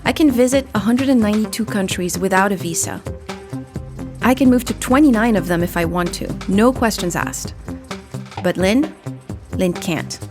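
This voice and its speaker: Documentary host, female, mid pitch, measured narration over a strong music bed. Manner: measured, reflective, posing questions. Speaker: journalist and podcast host.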